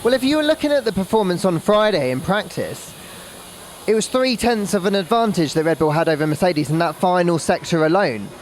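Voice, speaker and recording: Guy podcast pundit talking racing casually, highish voice, outside w traffic noise. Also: animated, fairly quick. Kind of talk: casual sports analysis chat.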